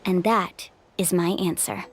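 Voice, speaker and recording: A woman's voice: lowish voice, fairly even intonation, a little slow, husky. A woman playing a confident, friendly young adventure guide in a game, encouraging and earnest. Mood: earnest, calm. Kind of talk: animated game voice acting.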